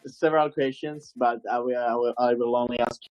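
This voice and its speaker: A man's voice: medium pitch, fairly even intonation, fairly quick, darkish tone, no room sound, poor audio. Hesitant man asking a question, dry sound. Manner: hesitant, many fillers. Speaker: audience member asking questions. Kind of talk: webinar question.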